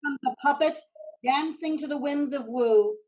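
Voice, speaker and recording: A woman's voice: high voice, moderate pace, a little mellow, poor audio. A woman reading stage directions as scripted narration for a staged play reading.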